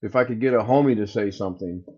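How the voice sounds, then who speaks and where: A man with a booming voice hosting a casual livestream, chatting with viewers and thinking aloud as he troubleshoots. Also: quick pace, a little mellow, dull audio. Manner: rambling, thinks aloud while fixing tech. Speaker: livestream host. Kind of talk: casual live chat with viewers.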